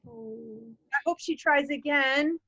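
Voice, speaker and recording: A woman's voice: high voice, takes it slowly, vocal fry, muffled recording. A woman co-hosting a storytime, reading a children's picture book expressively and adding chatty asides. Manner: animated, with chatty asides to young listeners. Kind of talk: expressive children's read-aloud.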